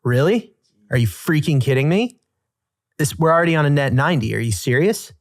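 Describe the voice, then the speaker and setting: A man co-hosting a casual podcast, talking in an animated, emphatic way with slangy exclamations and repeated phrases. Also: on the high side, a little fast, no echo, studio quality. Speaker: podcast co-host. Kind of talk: casual podcast banter and commentary.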